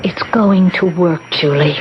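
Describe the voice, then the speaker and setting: A woman's voice: lowish voice, unhurried, muffled recording, lightly acted. An actress playing a confident, determined woman conspirator in 1970s radio drama, assured and insistent, on a noisy old broadcast. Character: determined, confident woman plotting to sabotage a sinister club.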